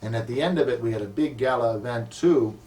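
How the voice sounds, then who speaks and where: A man's voice: mid-range voice, fast, mellow. Older man recalling his past, slightly distant. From United States. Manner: thoughtful, with frequent ums and self-corrections. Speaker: community association president. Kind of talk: recalled life story in an interview.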